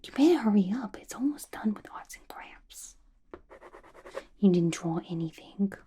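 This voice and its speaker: A woman's voice: medium pitch, animated, normal speed, warm tone, a little hiss or hum, close to an everyday voice. A woman playing a playful, unhinged, gossipy female villain inmate in a chatty, conspiratorial whispered ASMR roleplay. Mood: chatty, musing.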